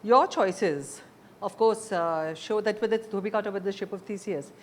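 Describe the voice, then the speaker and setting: A woman's voice: mid-range voice, normal speed, a little hiss or hum. A woman hosting a conversational interview. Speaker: interview show host.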